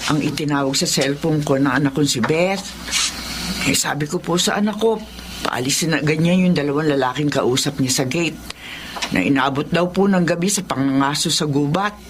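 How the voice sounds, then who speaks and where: An actress with a Filipino accent playing a middle-aged, worried housekeeper in a radio drama, respectful and hesitant. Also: female voice, deep voice, moderate pace, noisy background, close to an everyday voice. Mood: puzzled, deferential. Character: deferential, worried housekeeper, mother of a grown daughter.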